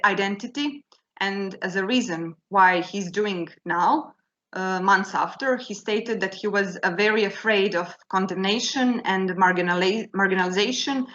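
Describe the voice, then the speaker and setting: A woman giving an academic conference talk, in the language, speaking haltingly with many fillers and restarts. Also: mid-range voice, a bit bright, lo-fi sound. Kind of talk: academic presentation. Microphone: video call.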